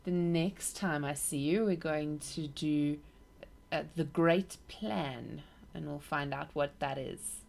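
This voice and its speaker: A woman reading a children's book aloud for her nieces, in an expressive storytelling manner. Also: deep voice, slow, gravelly.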